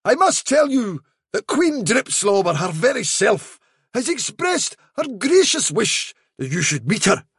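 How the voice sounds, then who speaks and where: A high-pitched, grandiose trickster fairy dog. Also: male voice, husky, dry recording, studio quality, full character voice. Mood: regal, flattering. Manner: grandiose, drawn-out, theatrical flattery. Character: wily trickster posing as grand, flattering fairy dog messenger. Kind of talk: dramatic storybook reading.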